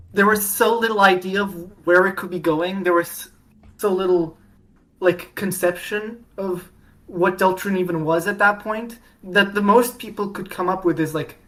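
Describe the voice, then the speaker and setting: A woman's voice: fairly low pitch, a bit bright. A woman chatting casually as a podcast guest, rambling with many restarts and fillers. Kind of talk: casual chat.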